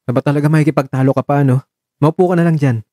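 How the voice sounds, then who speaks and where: A man's voice: on the high side, steady tone, quick pace, dark tone, no echo, studio quality, lightly acted. A man playing a caring, nagging man who frets and gets exasperated in an audio drama. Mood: caring, insistent. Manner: exasperated, insistent, emotional. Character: Marco, caring young man, nagging and fretful.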